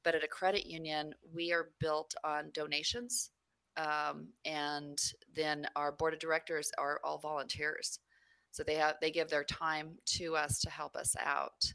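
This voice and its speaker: A woman's voice: sits low, flat delivery, a little slow, pushed and bright, dry recording. A woman from New Mexico, a credit union CEO, answering interview questions in a rambling way with many fillers.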